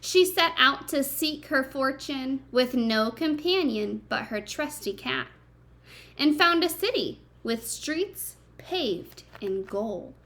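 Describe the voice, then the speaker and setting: A woman's voice: sits high, expressive, slow, a bit bright. A woman reading a picture book aloud to young children like a teacher, expressively, with simple chatty asides and questions to her listeners.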